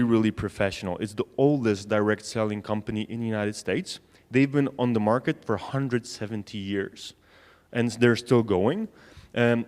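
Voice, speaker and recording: Polish guy giving a talk, mid pitch, storytelling, lots of hall reverb. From Poland. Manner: storytelling, addresses the audience with questions. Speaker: conference speaker on sales. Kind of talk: conference talk. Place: conference hall.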